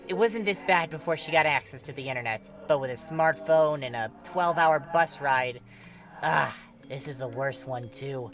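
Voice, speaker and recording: A man's voice: a little high, normal speed, fairly bright tone. A man voicing a sarcastic, exasperated, foul-mouthed teenage boy in a story reading, chatty and eye-rolling. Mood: wry exasperation. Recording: music behind the voice.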